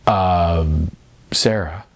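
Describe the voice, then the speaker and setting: A man voicing an awkward, gentle middle-aged man in an audiobook, halting and hesitant as he speaks. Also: deep voice, steady tone. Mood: flustered.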